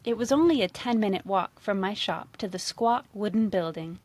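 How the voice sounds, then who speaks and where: A woman's voice: medium pitch, animated, moderate pace, darkish tone, no room sound. Woman narrating a cozy story. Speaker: author reading own story. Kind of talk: expressive first-person story narration.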